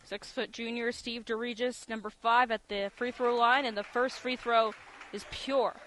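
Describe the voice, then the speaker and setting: Very high-pitched male basketball radio announcer. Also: flat delivery, normal speed, bright, forceful tone. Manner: clipped, vivid descriptive calls. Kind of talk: live sports radio commentary. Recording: live broadcast with gym noise. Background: basketball game ambience, bouncing ball.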